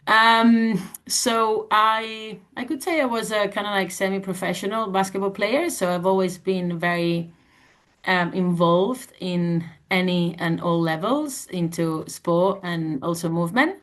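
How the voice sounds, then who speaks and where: A woman's voice: medium pitch, steady tone, measured pace, fairly bright tone. A woman in her thirties from Barcelona with a Spanish accent, talking informally as a panel guest and searching for words as she goes. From Barcelona, Spain. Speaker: panel guest, sports researcher and club member. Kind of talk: informal self-introduction.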